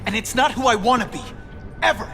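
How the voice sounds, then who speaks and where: Game lead: a youthful, wry, principled male superhero, animated and high, music behind. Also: fairly quick, exaggerated voice. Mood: defiant. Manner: sarcastic, defensive, youthful. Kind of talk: naturalistic video game voice acting.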